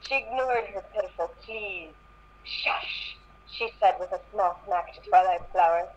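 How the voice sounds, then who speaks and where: A woman's voice: mid-range voice, normal speed, muffled recording. A woman reading a story aloud as an amateur, stumbling over and repeating words and adding asides. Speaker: reader in a group fan fiction reading.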